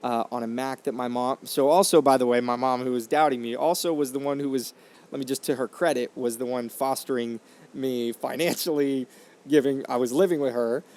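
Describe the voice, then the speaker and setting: A man's voice: medium pitch, fairly even intonation, brisk, a little mellow. Earnest young man telling his story. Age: 20s. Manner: earnest, reflective, many fillers and restarts. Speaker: podcast host. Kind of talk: casual storytelling monologue. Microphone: condenser microphone.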